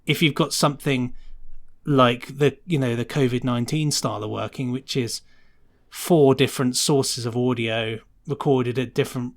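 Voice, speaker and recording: A British man speaks as a guest on a casual podcast. His pitch sits in the middle of the male range. He explains a technical working process in a conversational way, often acting out short quotes to make a point. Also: fairly even intonation, moderate pace. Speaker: guest sound designer being interviewed.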